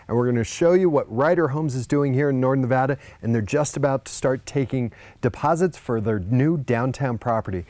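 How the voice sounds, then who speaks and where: A man hosting a real estate radio show, introducing guests in a brisk, friendly way. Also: medium pitch, a little mellow, no room sound. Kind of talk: casual radio interview.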